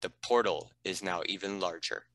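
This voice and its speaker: Deep, crisp-toned man narrating a script. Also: normal speed, fairly bright tone, no room sound. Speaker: narrator reading stage directions.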